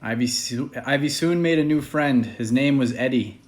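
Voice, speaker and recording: A man with a medium-pitched voice reading a children's picture book aloud. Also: moderate pace. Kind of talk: read-aloud children's story.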